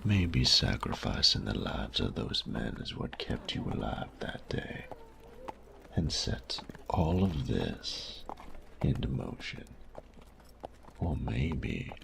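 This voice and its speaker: A man playing a cocky, sadistic bandit in a roleplay audio drama, smug and teasing, with a gravelly voice that often drops to a breathy whisper and taunting, drawn-out phrasing. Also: extremely low pitch, noisy background, heavily acted. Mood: menacing, nostalgic. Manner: slow, taunting, drawling, savoring pauses.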